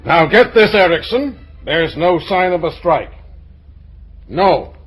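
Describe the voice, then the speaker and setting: An actor playing a gruff, blunt, middle-aged businessman father with a pompous, blustering manner in an old radio drama. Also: a little high, expressive, slow pace, faint music behind, full character voice. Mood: curt, irritated. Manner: blustering, pompous, hesitating with ums. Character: gruff, blunt older father and plant owner, disapproving.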